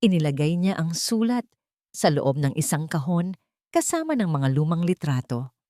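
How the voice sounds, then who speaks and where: Female narrator for dramatic fiction: measured, emotive phrasing, highly varied intonation, dry close recording. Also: big pitch swings, dark tone, dry recording. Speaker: story narrator. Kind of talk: dramatic scripted storytelling narration.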